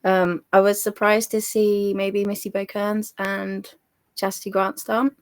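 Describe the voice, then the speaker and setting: A woman on a fan podcast panel, slightly hoarse, chatting and correcting herself as she talks. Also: medium pitch, level tone, a little slow, dry recording. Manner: chatty, hesitant, self-correcting. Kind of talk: casual fan podcast chat.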